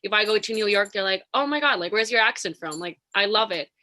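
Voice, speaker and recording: A young woman with a Latin American Spanish accent, speaking earnestly over a video call and often saying "like." Her tone is bright and clear. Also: mid-range voice, lively intonation, fast. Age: young adult. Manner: earnest, filler-heavy with "like". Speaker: panelist. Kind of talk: panel discussion.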